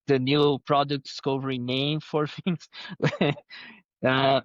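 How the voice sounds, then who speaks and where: A man speaking accented English in a slow, halting way, with frequent "uh"s and restarts. His voice sits at a middle pitch and sounds dry and close. The recording is a bit dull, as on a remote call. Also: fairly bright tone, clean tone, no echo. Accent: Spanish-speaking. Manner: halting, many fillers and restarts. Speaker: guest, head of design. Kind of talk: interview answers.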